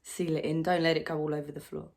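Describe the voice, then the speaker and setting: A woman's voice: low-pitched, little pitch movement, quick pace, darkish tone. A woman playing an anxious, self-reproaching new mother in a confessional dramatic monologue, in clipped phrases of swinging intensity. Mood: self-reproachful.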